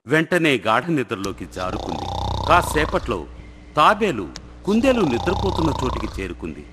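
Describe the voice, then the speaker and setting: A man's voice: medium pitch, wildly swooping pitch, moderate pace, very noisy. A man narrating a scripted moral story for children as the storyteller. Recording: long hall reverb, faint music.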